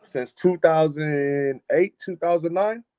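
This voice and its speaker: A man's voice: fairly high pitch, slow, mellow, clean tone, lo-fi sound. A man, a music engineer talking casually as a guest on a live-streamed interview, often repeating and restarting his phrases. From Chicago, United States. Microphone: video call.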